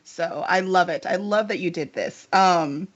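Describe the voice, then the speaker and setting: A woman's voice: fairly low pitch, a little slow, dry recording. A woman hosting a casual interview in an effusive, informal way, on slightly dull audio. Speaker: interviewer, publisher.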